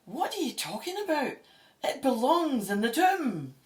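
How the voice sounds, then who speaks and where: A woman acting a brisk, sceptical Egyptologist with a wry, matter-of-fact manner in an audio drama. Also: sits high, very animated, a little mellow. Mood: puzzled, sceptical.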